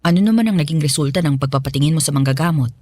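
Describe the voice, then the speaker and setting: A middle-aged woman playing a caring aunt in a radio drama, speaking gently and with concern. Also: low-pitched, rapid-fire, no room sound. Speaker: aunt Tita Joan.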